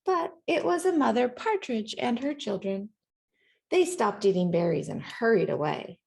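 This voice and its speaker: Lady reading a kids picture book out loud, really lively up and down voice, bit of gravel in it. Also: a little high, moderate pace. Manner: animated, acts out sounds and dialogue. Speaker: storybook reader. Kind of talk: expressive children's picture-book read-aloud.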